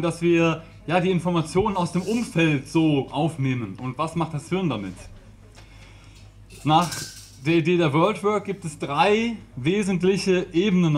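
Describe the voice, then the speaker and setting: Chatty man with a handheld mic. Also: fairly high pitch. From Rhineland, Germany. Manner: chatty, laughs often, gestures. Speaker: facilitator giving a talk. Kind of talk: informal live talk. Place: small brick-walled event room with audience.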